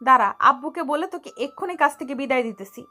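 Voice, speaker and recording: A woman playing a quick-tempered, bossy young medical student in an audio love story. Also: high-pitched, lively intonation, bright, forceful tone, faint music behind, exaggerated voice. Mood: indignant scolding. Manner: scolding, bossy.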